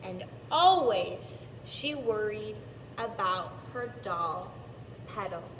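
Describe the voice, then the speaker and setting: A woman reading a storybook aloud to preschoolers in a deliberate teacher's manner, stretching out repeated words and pausing to explain. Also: mid-range voice, lively intonation. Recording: echoey, distant mic, clearly noisy background.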